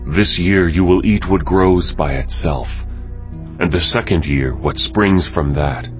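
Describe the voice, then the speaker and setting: An actor playing a stern prophet who proclaims God's judgement in a measured, declamatory way for a dramatized Bible audio production. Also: male voice, deep voice. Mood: stern, solemn. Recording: dull audio with music underneath. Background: music.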